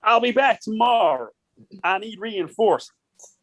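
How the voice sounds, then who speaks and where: A woman's voice: mid-range voice, lively intonation, measured pace, no room sound. A woman playing a boastful, combative exorcist in an amateur radio play. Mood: confident, challenging. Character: boastful, combative exorcist called in to clear a house.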